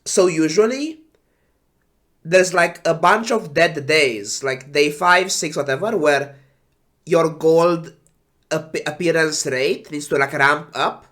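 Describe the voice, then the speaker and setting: A man who is sick and congested, sighing and talking slowly while casually reading game patch notes on a stream. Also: on the high side, a bit bright. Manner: slow, sighing, trailing off. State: congested with flu.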